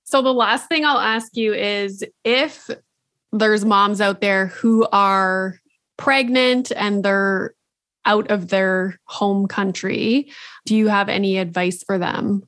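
A woman's voice: medium pitch, fairly even intonation, fairly bright tone, dry recording. Upbeat woman host, dry close sound. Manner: upbeat, quick reactions, curious questions. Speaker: podcast host. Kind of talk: casual interview.

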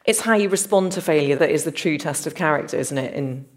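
A woman's voice: low-pitched, quick pace. A woman hosting a podcast interview, asking questions in an articulate, engaged, conversational way.

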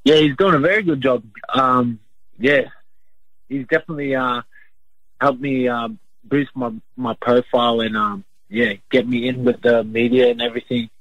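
A young man with an Australian accent giving a sports interview as a boxer in a halting, plain-spoken way. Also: mid-range voice, fairly even intonation, dry recording, dull audio. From Brisbane, Queensland, Australia.

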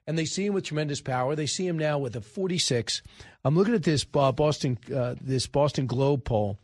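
An American man hosts live talk radio in a slightly high-pitched voice. He sounds close and dry on a studio microphone. His commentary is conversational and rambling, with corrections made along the way. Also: brisk, no room sound. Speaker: radio show host.

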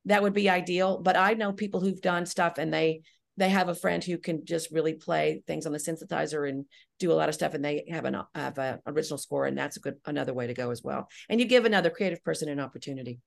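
A middle-aged woman speaks as a guest in a podcast interview, in a voice pitched on the low side. She is enthusiastic and emphatic, repeating herself as she explains in a conversational way. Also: fast talker, creaky. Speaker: podcast guest, audio drama writer-director.

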